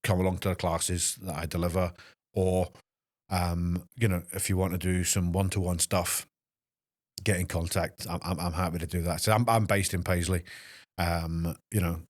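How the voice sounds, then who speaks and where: A Scottish man in his fifties, a fitness trainer hosting a solo podcast, speaking in an emotional, halting way with many restarts. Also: sits low, level tone, no room sound, studio quality. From Scotland. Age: 50s. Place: small podcast studio.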